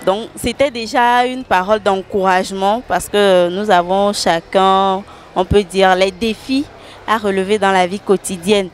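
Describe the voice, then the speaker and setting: A woman's voice: medium pitch, steady tone, unhurried. A woman giving an interview testimony into a handheld microphone in a large open hall. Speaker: convention attendee. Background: faint ambient noise.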